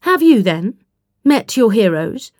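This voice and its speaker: A woman's voice: fairly high pitch, very animated, slow, darkish tone, no echo, clean studio sound, exaggerated voice. A woman voicing an earnest young female adventurer, proper, stubborn and indignant, in an audiobook story. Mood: curious.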